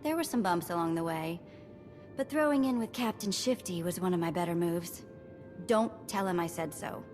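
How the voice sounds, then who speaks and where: An actress playing a sassy, playful thief in a video game, talking in a casual, slangy, teasing way. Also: female voice, lowish voice, moderate pace, darkish tone, natural voice. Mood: warm, chatty. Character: sassy, playful female thief, breezy and streetwise. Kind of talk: video game cutscene acting. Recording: long reverb, music behind.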